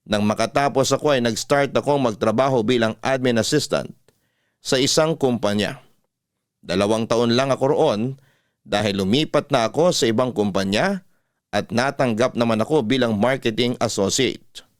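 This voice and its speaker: A man's voice: mid-range voice, normal speed, dry recording, studio quality. A man with a Filipino accent reading letters as a radio host, in an earnest, sentimental classic storytelling style. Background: soft music.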